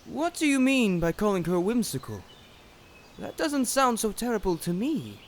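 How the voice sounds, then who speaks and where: A man's voice: high-pitched, big pitch swings, moderate pace, slight background noise. A man playing a shy, hesitant, stammering young alchemist in a fantasy audio drama. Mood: defensive.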